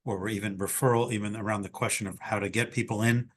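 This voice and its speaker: A man's voice: on the low side, fairly even intonation, fast, slight background noise. An American man from New York, hosting a conversational interview over a video call. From New York, United States. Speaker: host introducing a new team member.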